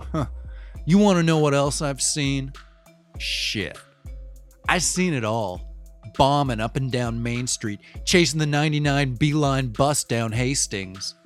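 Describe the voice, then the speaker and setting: A man's voice: medium pitch, close to an everyday voice. A man playing a cynical streetwise city cyclist. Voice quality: slangy, profane street talk. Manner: rambling, conspiratorial, shifts between wry and fired-up. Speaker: local bike courier interviewed. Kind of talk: audio drama monologue.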